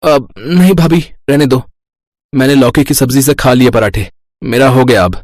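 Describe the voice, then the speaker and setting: A man voicing a greedy, spoiled young brother-in-law in an animated story, whiny and wheedling. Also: medium pitch, moderate pace, no echo, pitched above the performer's own voice, close to an everyday voice. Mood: sulky. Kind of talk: animated moral-story cartoon voice acting.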